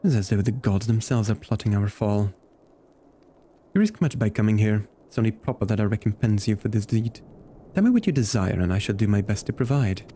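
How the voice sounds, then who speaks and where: Man reading as a grave, mellow fantasy lord. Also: fairly low pitch, lively intonation, brisk, a little hiss or hum, natural voice. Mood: grave, courteous. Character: formal, grave medieval-fantasy lord in a video game. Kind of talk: reading game dialogue aloud in character.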